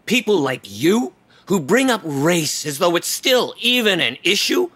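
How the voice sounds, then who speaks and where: A resentful office coworker in a radio play. His voice is high-pitched and bright. He scolds in an uptight, indignant way. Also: big pitch swings, unhurried, breathy edge, heavily acted. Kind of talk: audio play acting.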